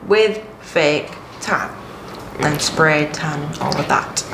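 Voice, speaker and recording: A woman in a group discussion, speaking deliberately and repeating words for emphasis. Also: deep voice. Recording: echoey room, clear background noise.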